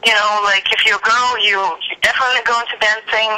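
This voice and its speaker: A young woman with a Ukrainian accent talks openly as a podcast guest. Her voice is very bright and crisp, and her speech wanders warmly through fillers and small laughs. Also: on the high side, fairly even intonation, fast talker, low-quality recording. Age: young adult. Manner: chatty, giggly, many fillers. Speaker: guest, actress and musician. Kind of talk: casual interview chat.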